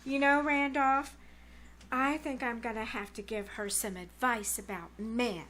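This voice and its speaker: A woman's voice: fairly high pitch, steady tone, unhurried, fairly bright tone. A woman playing a bossy, gushing teenage girl who talks in dramatic slang, in 1940s radio comedy style. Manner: slangy, dramatic, know-it-all. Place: small-town theater stage, live performance.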